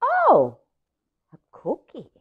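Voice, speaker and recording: A woman's voice: very high-pitched, huge pitch swings, very slow, voice pushed up, exaggerated voice. A woman, a native Spanish speaker, voicing a small, sweet, polite young duckling from a storybook. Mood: delighted. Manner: polite, childlike. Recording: dull lo-fi audio.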